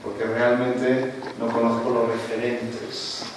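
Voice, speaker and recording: A man's voice: mid-range voice, completely flat delivery, slow pace, noisy background. Man lecturing from far off in a roomy hall. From Spain. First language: not Spanish. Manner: lecturing, frequent rhetorical "¿no?" tags. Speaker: panelist. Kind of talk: academic talk to an audience. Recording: roomy hall, speaker away from mic, faint ambience.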